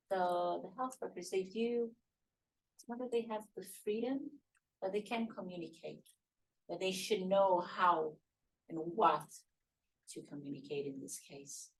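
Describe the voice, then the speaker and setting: A woman's voice: lowish voice, rough recording. A woman facilitating a security training workshop, talking things through and repeating words as she builds a point. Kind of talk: interactive training discussion.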